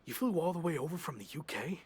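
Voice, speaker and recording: A man playing a stubborn, down-on-his-luck pro wrestler in a video game, husky-voiced, with a dry, weary, defensive manner. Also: a little high, animated, quick pace. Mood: startled, groggy. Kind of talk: video game cut-scene acting.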